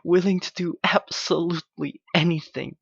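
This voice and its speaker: A man playing a clingy, heartbroken young yandere lover in an intimate ASMR roleplay, halting and pleading in his anguish. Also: on the high side, steady tone, gravelly, husky, no room sound, dull audio. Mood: bitter, agitated.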